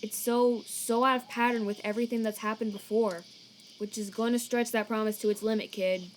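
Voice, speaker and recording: A woman voicing a weary, laid-back, protective male skeleton in a comic dub, casual and slangy, halting when troubled. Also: fairly high pitch, fairly even intonation, a little hiss or hum, lightly acted. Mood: shaken, resolute. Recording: cricket night ambience behind.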